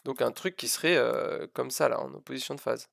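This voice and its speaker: A man's voice: medium pitch, fairly even intonation, fairly quick, vocal fry, a bit bright, dry recording. A man giving an informal live physics lesson, hesitant and self-deprecating, repeating and stressing key words as he explains. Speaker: physics teacher streaming live.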